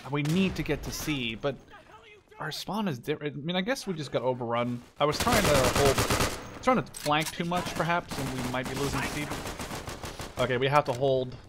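A man in his twenties giving casual, rambling, sweary gameplay commentary in an excitable way. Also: medium pitch, normal speed, background noise. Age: 20s. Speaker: gamer, video host. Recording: loud game gunfire behind the voice. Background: gunfire and machine guns from game.

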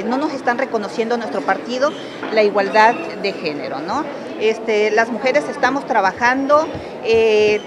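A woman with a Mexican accent talks to reporters in a slightly high voice. Her remarks come haltingly, in broken pieces. A crowd is audible around her. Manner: hesitant, broken phrases. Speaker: municipal official speaking to press. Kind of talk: informal press conference remarks. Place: crowded indoor room. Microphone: press microphones on table. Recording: busy crowded press conference room.

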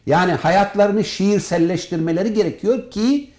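An older man, a professor answering interview questions in formal wording, speaking in a measured way with frequent pauses. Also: sits high, a little mellow. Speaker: professor, head of an animation department.

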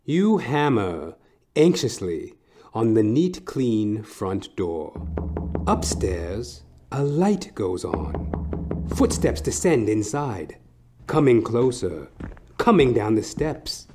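A man narrating a horror audio play dramatically, intense and theatrical, pausing between short phrases. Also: fairly low pitch, sing-song, music in the background.

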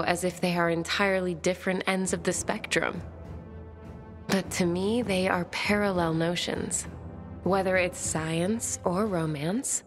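A woman's voice: on the low side, lightly acted. An actress playing a bookish, romantic and courteous woman survivor in a video game, speaking formally and thoughtfully. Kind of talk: video game cutscene dialogue.

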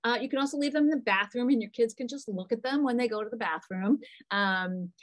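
A middle-aged woman, a psychologist, answering parents' questions in a lively, chatty way on a video call from a small room. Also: on the high side, brisk. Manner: talkative, animated, answers questions from the chat. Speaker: psychologist presenting a parent webinar. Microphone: computer mic on a video call.

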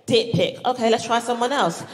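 A woman's voice: a little high, normal speed. A woman from Barbados with a British accent performing an exclamatory, sweary stand-up routine to a live audience. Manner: animated, exclamatory, sweary, acts out reactions. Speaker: stand-up comedian. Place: live show on stage in a venue. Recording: live comedy venue with audience noise. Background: audience laughter and room ambience.

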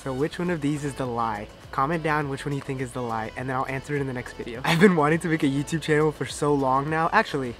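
A man's voice: mid-range voice, fast talker, hardly any pauses, a bit bright. A man, chatting casually to camera in an upbeat, slangy way as a vlogger. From Thailand and America. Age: 20s. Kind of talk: casual vlog chat to camera. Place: outdoor garden patio with sofa. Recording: music behind the voice. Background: background music.

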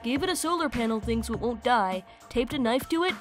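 A woman's voice: on the high side, animated, fairly quick, soft background music. A woman reading fan messages aloud in a casual style for a comic dub.

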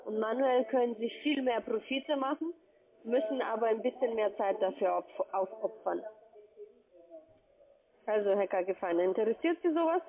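A woman with a fairly high voice works as a telephone sales caller. She delivers her pitch over a thin, narrow-band phone line. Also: normal speed, crowd noise.

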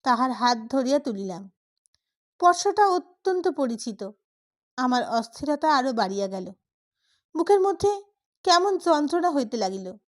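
A woman narrates a literary story. Her voice is high, and she reads in a dramatic storytelling style suited to an audiobook. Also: big pitch swings, moderate pace, pauses often.

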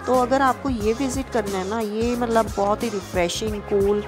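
A woman's voice: fairly high pitch, steady tone, normal speed. Young North Indian woman vlogger over music. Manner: mixes English words and phrases into speech. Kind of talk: casual vlog voice-over review.

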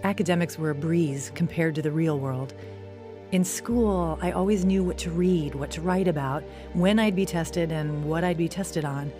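A woman's voice: low voice, moderate pace, music in the background, close to an everyday voice. An actress playing a troubled, searching young woman in an audio drama, earnest and conversational. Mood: reflective. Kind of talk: audio drama narration and acting.

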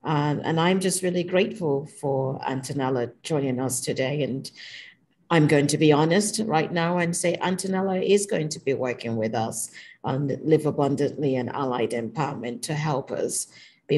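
A woman co-host wrapping up a podcast conversation over a video call in a gracious, measured way. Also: deep voice, darkish tone. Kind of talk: podcast wrap-up.

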